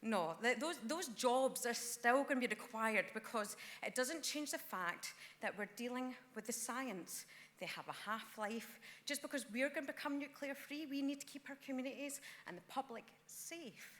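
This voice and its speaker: Woman delegate, earnest floor speech with ums; bright, forceful tone; long hall reverb. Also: fairly high pitch, moderate pace. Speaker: councillor speaking at a party conference. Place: large conference hall.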